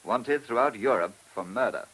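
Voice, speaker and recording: A man acting a brisk, matter-of-fact police officer reporting to his inspector in a radio play. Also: medium pitch, expressive, fairly bright tone, slightly rough, poor audio.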